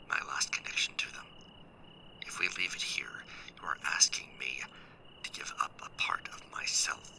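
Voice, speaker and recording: A man playing a proud, sullen young captive mech pilot in a sci-fi ASMR roleplay, hushed and gravelly, earnest but grudging. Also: rumbling low, full character voice, rough recording. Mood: defiant, wounded. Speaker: voice actor in an audio roleplay.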